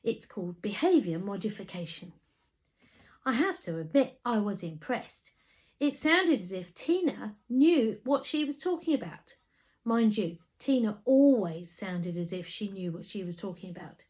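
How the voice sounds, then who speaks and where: A woman reading a children's story aloud in an animated way, with dramatic emphasis. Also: medium pitch, unhurried. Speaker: storybook reader. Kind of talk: expressive scripted children's story narration.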